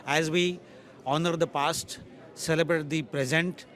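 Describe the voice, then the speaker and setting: A man speaks with an Indian accent in a fairly high voice. He gives formal, celebratory remarks as a guest at a community event, addressing viewers directly. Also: fairly even intonation, measured pace, fairly bright tone. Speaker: community guest giving a testimonial to camera.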